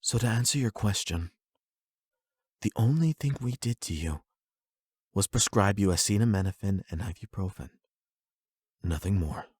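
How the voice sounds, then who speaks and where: Man acting a calm, low-voiced clinical doctor. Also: slightly rough, no echo, studio quality. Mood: clinical, explanatory. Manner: measured, polite, explanatory. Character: calm, formal male trauma-center doctor, patient and clinical.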